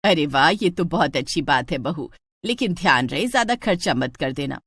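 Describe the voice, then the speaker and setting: Woman voicing a low, stingy mother-in-law. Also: lively intonation, normal speed, a bit bright, breathy edge, no room sound, full character voice. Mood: pleased. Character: stingy, scheming middle-aged mother-in-law.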